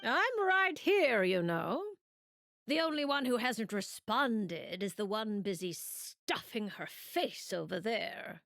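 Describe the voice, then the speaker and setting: A woman voicing a calm, mysterious seer witch in a fantasy game, measured and cryptic. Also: on the high side, gravelly, dry recording, studio quality, full character voice. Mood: calm, knowing.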